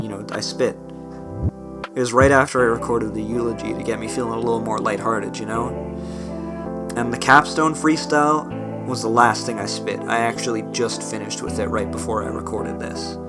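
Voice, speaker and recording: A young-sounding independent rapper, a man with a slightly gravelly strained voice, talking earnestly and rambling with restarts on a cheap home mic. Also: medium pitch. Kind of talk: spoken outro over a beat. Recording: spoken over clear background music. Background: guitar music bed.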